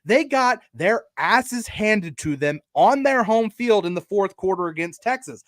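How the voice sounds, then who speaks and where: A man's voice: high voice, animated, measured pace, fairly bright tone, no room sound, studio quality. A man co-hosting a sports podcast, arguing emphatically about college football in casual, sometimes profane language. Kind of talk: opinionated college football debate.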